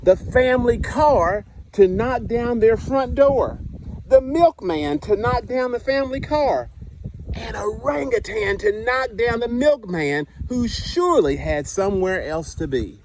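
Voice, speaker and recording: Slow, high-voiced man reading a picture book. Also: slight background noise, low-quality recording. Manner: expressive storytelling with asides to listeners. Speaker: school principal reading aloud. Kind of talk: children's picture book read-aloud.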